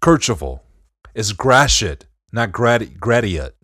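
Deep-voiced Detroit man, dry close sound. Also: big pitch swings, unhurried, fairly bright tone, dry recording. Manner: laid-back, swears, repeats "bro". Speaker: podcast co-host. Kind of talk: casual chat.

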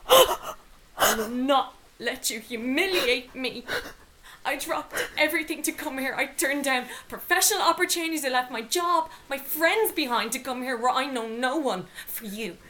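A woman's voice: high voice, normal speed, sharp tone, slight background noise. An actress playing a stern, exasperated middle-aged Irish mother in a radio drama, scolding and commanding. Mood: angry, hurt. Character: stern, exasperated mother of a rebellious teenage daughter.

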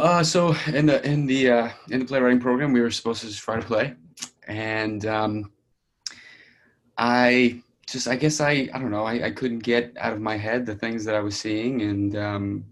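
A man talking casually about his writing as a playwright, hesitant and searching for his words. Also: fairly low pitch. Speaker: playwright and student discussing his play.

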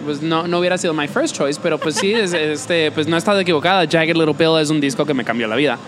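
A woman's voice: deep voice, quick pace, crowd noise. A woman hosting a podcast who chats casually, drops English phrases into her Spanish, and restarts her sentences often. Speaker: podcast host. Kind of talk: casual chat.

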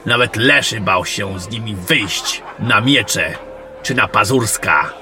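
A man playing a gruff, battle-worn, commanding general in a fantasy audio drama, blunt and suspicious in his questioning. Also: fairly low pitch, slow. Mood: stern, sarcastic. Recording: music behind the voice.

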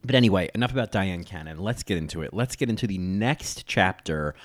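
A man's voice: deep voice, expressive, brisk. A man in his thirties hosting a solo podcast, chatting in a rambling way with lots of ums and restarts. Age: 30s. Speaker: podcast host. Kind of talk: casual solo podcast chat.

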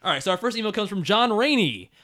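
A man's voice: high voice, animated, fast, over music. A man co-hosting a casual podcast, reading listener emails aloud and joking, often laughing. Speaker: podcast co-host.

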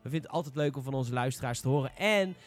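A man's voice: mid-range voice, quick pace. A man with a Netherlands Dutch accent hosting a casual panel podcast, opinionated and emphatic. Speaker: podcast host. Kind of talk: casual panel discussion about games.

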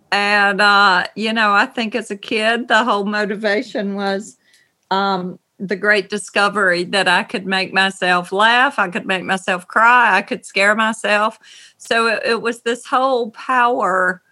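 A middle-aged woman from North Carolina with a Southern accent, speaking thoughtfully as an interview guest over a video call from her writing room, pausing and restarting often. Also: medium pitch, bright, forceful tone. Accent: Southern American. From North Carolina, USA. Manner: slow, thoughtful, with many pauses and restarts. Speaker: novelist guest.

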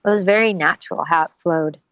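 A woman's voice: fairly low pitch, fairly quick, a bit bright, no echo. A middle-aged woman voice actress, chatting animatedly in an interview and slipping into little character voices. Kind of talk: casual interview talk.

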